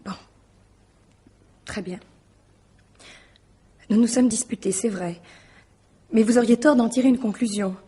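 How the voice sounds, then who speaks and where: A distraught young mistress in a radio mystery. Her voice sits fairly high yet is veiled and husky. She speaks formally and guardedly, hesitating as she evades. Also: a little slow, long pauses, mellow. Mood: defensive, strained. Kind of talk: French radio mystery drama acting.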